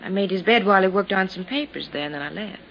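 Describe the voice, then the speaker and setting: An actress playing a timid hotel chambermaid giving testimony in an old radio drama. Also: female voice, mid-range voice, fast talker, vocal fry, lightly acted. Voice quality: timid, short answers. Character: nervous hotel chambermaid witness. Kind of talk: 1950s radio drama acting. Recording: dull old radio recording, faint hiss.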